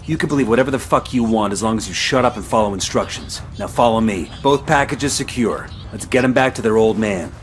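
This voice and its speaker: An actor playing a no-nonsense special forces squad leader in a military video game, terse and commanding. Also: male voice, lowish voice, a little fast, hardly any pauses, lightly acted. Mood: brusque, urgent. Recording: music behind the voice.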